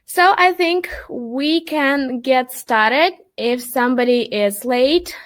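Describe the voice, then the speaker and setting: Upbeat high-voiced young woman hosting a webinar. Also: slow. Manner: upbeat and chatty, greets viewers by name. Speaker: webinar host, marketing manager. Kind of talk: live webinar presentation, reading chat greetings.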